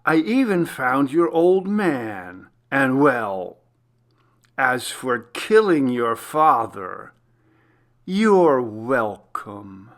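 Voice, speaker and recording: A man voicing a menacing, gloating serial killer in a horror story, taunting with slow, drawn-out mock affection. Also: mid-range voice, very animated, full character voice. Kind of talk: dramatic horror story reading.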